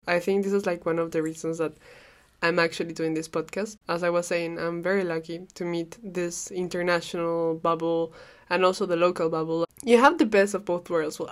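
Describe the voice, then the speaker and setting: A young woman with a Peruvian Spanish accent talks through a casual solo podcast. Her voice sits a little low, and she rambles freely, laughing often and dropping the odd swear. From Peru. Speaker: podcast host.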